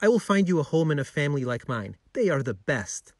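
A man's voice: on the high side, big pitch swings, brisk, no room sound, studio quality. A man voicing an eager, kind-hearted young male pet dog in a children's story, earnest and exclamatory.

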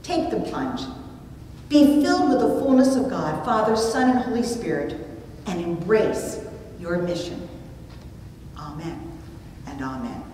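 A woman's mellow voice carries through a very reverberant church room, picked up from far off. She preaches in measured, deliberate phrases, telling stories expressively from a pulpit. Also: very animated. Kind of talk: sermon with storytelling, read from notes. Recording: distant mic, very echoey room, rumbly noise.

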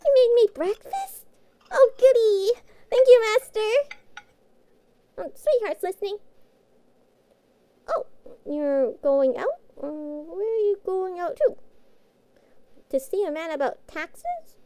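A woman's voice: extremely high pitch, big pitch swings, takes it slowly, pauses often, warm tone, dry recording, full character voice. A woman playing a sweet, naive young catgirl pet in an audio roleplay, childlike, hesitant and full of innocent wonder. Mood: excited, then puzzled, innocent. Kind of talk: scripted audio roleplay acting.

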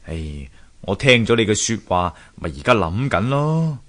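An animated, deep-voiced sculptor husband. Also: male voice, measured pace. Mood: animated, pleased. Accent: Hong Kong Cantonese. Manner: theatrical, musing aloud with many interjections. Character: earnest male sculptor husband, eager and thoughtful. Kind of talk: Cantonese radio drama acting.

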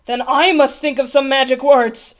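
A woman's voice: high voice, steady tone, normal speed, close to an everyday voice. A woman voicing a proud, imperious old king in a storybook, with a dramatic manner. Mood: groaning, desperate. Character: proud old king, commanding, groaning, bellowing. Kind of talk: storybook character voice.

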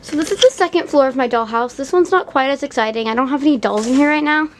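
Young American girl, higher-pitched, chatty. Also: fast talker, noisy background. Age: young, child or teenager. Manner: chatty, informal, frequent "like". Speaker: doll vlogger giving a house tour. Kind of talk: casual home vlog narration. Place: indoor room at home, behind the camera.

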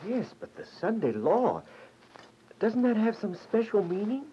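A woman's voice: mid-range voice, very animated, measured pace. A woman playing an earnest, eager younger brother in a radio drama. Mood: eager, worried. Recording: muffled, noisy radio drama recording.

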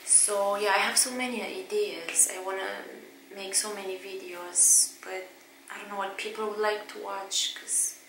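A woman's voice: mid-range voice, steady tone, a little slow, reverberant, noisy background. A woman in her thirties with a Romanian accent, talking hesitantly to the camera at home with many fillers and restarts. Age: 30s. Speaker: vlogger. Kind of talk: casual talk to camera. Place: bedroom at home, indoors.

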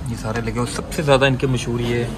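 A man's voice: medium pitch, fairly even intonation, fast talker, noisy background. A man in his thirties vlogging inside a bakery, chatting as he shows items and reads out prices. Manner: chatty, showing and pricing items. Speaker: food vlogger. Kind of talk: casual food vlog walkthrough. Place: bakery shop indoors. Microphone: phone camera, handheld selfie framing. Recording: loud music behind the voice.

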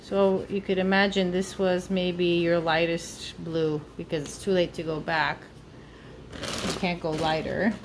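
A woman's voice: fairly low pitch, little pitch movement, a little slow, noisy background. A woman giving an informal step-by-step drawing lesson for children, thinking aloud as she works. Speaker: art teacher. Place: indoors at a table.

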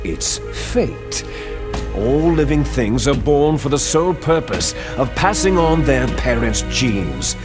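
An actor playing a bitter, fanatical villainous clone soldier in a video game, arrogant, menacing and condescending, with drawn-out theatrical phrasing. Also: male voice, mid-range voice, sing-song. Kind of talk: video game cutscene acting. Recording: music behind the voice.

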